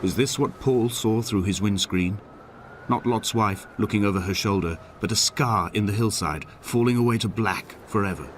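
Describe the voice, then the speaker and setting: An actor playing a grieving, weary male castaway, narrating a video game's literary monologue in a solemn, measured, poetic way. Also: on the low side, a little hiss or hum, natural voice. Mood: mournful. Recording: voice over music.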